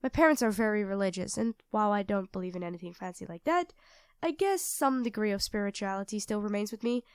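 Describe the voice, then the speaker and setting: A woman's voice: medium pitch, fast talker, smooth, no room sound, studio quality, natural voice. A woman playing a sweet, self-doubting young girlfriend in an intimate ASMR roleplay, speaking hesitantly and earnestly to her partner. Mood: uneasy, earnest. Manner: hesitant, soft-spoken, earnest, addressing a partner directly.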